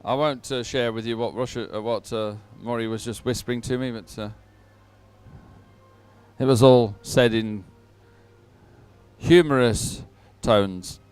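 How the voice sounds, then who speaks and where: A man giving live sports commentary at a regatta in an informal, halting way with hesitant pauses. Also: medium pitch, steady tone, a little mellow, clear, resonant tone, no room sound, soft background music.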